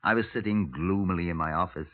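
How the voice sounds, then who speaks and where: Man playing a low, dry police superintendent. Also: close to an everyday voice. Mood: gloomy narration. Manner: dry, deliberate, formal. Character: world-weary middle-aged police superintendent, dry and methodical. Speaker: detective and narrator. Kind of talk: 1950s radio crime drama. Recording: muffled, narrow-band 1950s radio recording.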